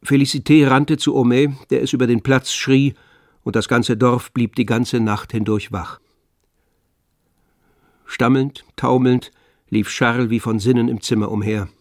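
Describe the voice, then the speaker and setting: A man narrating a literary radio drama in a measured, grave manner. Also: mid-range voice, fairly even intonation, no room sound, clean studio sound.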